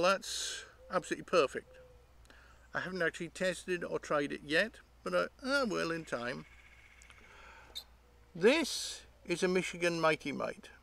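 A middle-aged British man presents his hobby projects in a casual, off-the-cuff style. His voice sits a little higher than most men's, and he rambles hesitantly as he explains. He is recorded outdoors, with a dry sound free of echo. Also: gravelly, a bit bright. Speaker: host of an electronics hobby channel. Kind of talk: casual unscripted show-and-tell. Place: outdoors by leafy trees.

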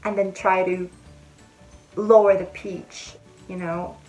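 Young woman, slow unhurried speech, chatty advice vlog, faint music underneath. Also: mid-range voice, little pitch movement, darkish tone, poor audio. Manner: chatty, friendly, explains things step by step. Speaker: vlogger. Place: indoor room with a bead-curtain backdrop.

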